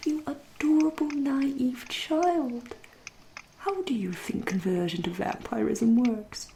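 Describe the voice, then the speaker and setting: A woman playing a centuries-old vampire in an ASMR roleplay, with an English accent, a soft breathy half-whisper and a genteel, teasing, politely menacing manner. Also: high-pitched, noisy background, full character voice. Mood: amused disbelief, then patronising, musing. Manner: slow, deliberate, politely menacing.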